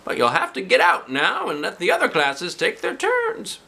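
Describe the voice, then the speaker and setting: Cheerful woman science teacher, sing-song and high, slow and a bit distant as a reader puts her on. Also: huge pitch swings, gravelly, bright, forceful tone, full character voice. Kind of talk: reading a children's book aloud.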